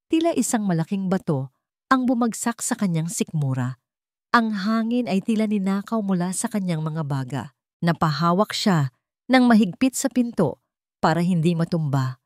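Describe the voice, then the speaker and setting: Low-voiced woman narrating a dramatic story. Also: a little mellow, no echo, studio quality. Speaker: audiobook narrator.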